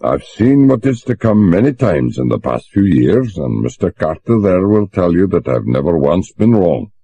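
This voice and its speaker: A man voicing a grave, steady older sailor with a Scottish accent, speaking slowly and with measured calm. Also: low voice, vocal fry, breathy edge, dull audio, full character voice.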